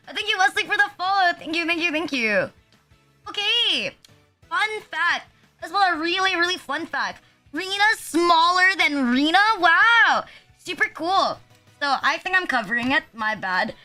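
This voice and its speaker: A high, bright-toned young woman chats loosely on a livestream, her nose a little stuffed and sniffly. She rambles and jokes at her own expense, dropping swears along the way. Soft music plays faintly behind her. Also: big pitch swings, normal speed. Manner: rambling, casually profane, self-deprecating. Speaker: VTuber streamer. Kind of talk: casual livestream chat.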